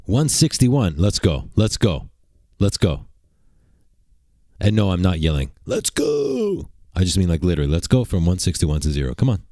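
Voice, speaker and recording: Very deep-voiced man streaming, crude jokes. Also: animated, normal speed, warm tone, dry recording, studio quality. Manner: crude, provocative joking, rambling. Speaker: streamer and channel host. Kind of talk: casual livestream chat. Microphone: desk mic at a computer.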